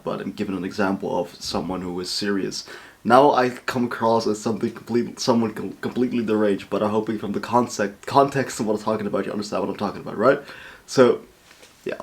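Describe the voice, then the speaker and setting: Excitable young guy reacting, swearing. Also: lowish voice. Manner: excitable, rambling, swears often. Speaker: reaction video host. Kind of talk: casual reaction commentary.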